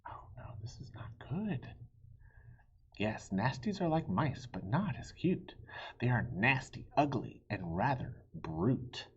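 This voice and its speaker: A man reading a children's story aloud in a theatrical way, with a breathy, husky voice that dips into hushed tones. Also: mid-range voice, big pitch swings, slow, highs cut off. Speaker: children's book reader.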